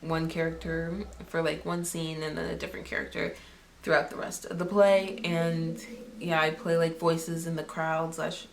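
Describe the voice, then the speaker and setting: A woman's voice: low-pitched, flat delivery, normal speed, vocal fry. A teenage woman, a student actor, talking casually in an interview. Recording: noisy recording.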